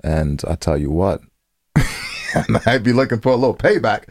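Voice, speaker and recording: A man with a low-pitched voice in a dry recording gives casual advice as a show co-host. He is blunt and slangy, and he often repeats a phrase to drive it home. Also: animated, moderate pace, dry recording. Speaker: podcast co-host giving advice.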